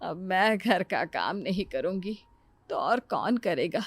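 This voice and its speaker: A woman voicing a caring middle-aged mother in a cartoon moral story, coaxing and gently insistent. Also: lowish voice, expressive, takes it slowly, no echo, full character voice. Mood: weary.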